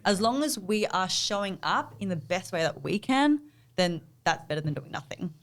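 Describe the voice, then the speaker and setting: A woman's voice: on the low side, lively intonation, moderate pace. Emphatic woman coach. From Australia. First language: Vietnamese. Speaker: guest, business coach. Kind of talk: motivational interview answers.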